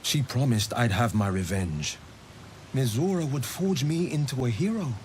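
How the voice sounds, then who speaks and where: An actor playing an earnest, haunted monster hunter in a video game, speaking in a measured, solemn, confessional way. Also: male voice, mid-range voice, slight background noise, close to an everyday voice. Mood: solemn, confiding, then bitter, intense. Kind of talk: dramatic video game monologue.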